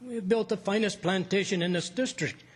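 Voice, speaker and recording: Man acting a high, husky, humble foreman. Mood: anxious, deferential. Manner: hesitant, halting, deferential. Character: humble, loyal male plantation foreman, anxious and deferential. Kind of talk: radio drama acting.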